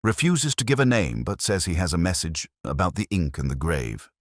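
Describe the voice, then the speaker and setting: A male narrator plays a young Victorian footman, deferential and clipped in his phrasing. The voice is very deep for the part and moves in lively swoops, in an audiobook style. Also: moderate pace, no room sound, studio quality. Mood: anxious. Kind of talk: audiobook character dialogue.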